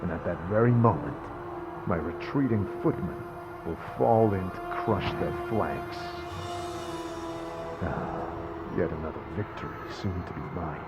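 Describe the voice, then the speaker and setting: A man's voice: expressive, unhurried, loud music behind the voice. A man voicing a cold, scheming warlord who gloats over his plans. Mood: gloating. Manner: low, gloating, menacing.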